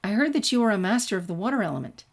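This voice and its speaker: A confident young female ninja from a fan fiction story , played by a woman reader. Her pitch sits lifted, and she talks in a forthright, eager way. She sounds bold and sure of herself. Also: big pitch swings, rapid-fire. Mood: eager, challenging. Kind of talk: fan fiction character dialogue.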